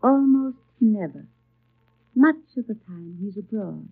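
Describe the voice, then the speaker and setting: An actress playing a kindly, chatty older housekeeper in a 1930s radio drama, husky, genteel and motherly. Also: mid-range voice, lively intonation, takes it slowly, faint music behind, heavily acted. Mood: kindly, confiding. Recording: muffled, tinny 1930s radio broadcast.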